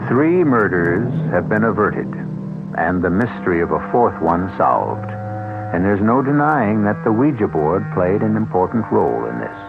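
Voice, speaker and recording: A man hosting and narrating a radio mystery show in a measured, ominous storytelling manner. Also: fairly low pitch, very animated, gravelly. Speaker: radio drama host and narrator. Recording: tinny old radio broadcast with music.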